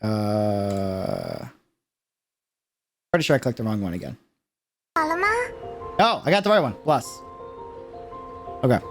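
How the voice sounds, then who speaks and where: A man's voice: on the low side, wildly swooping pitch, takes it slowly, gravelly, pauses often, fairly bright tone, soft background music. A man giving casual, slangy livestream reaction commentary, thinking aloud as he goes. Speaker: reaction streamer.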